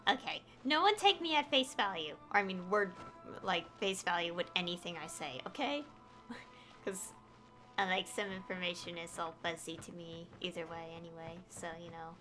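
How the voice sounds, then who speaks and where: A woman's voice: fairly low pitch, moderate pace. A woman game streamer giving casual, rambling commentary and often correcting herself as she goes. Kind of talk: casual gameplay commentary, reading dialogue aloud. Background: game music.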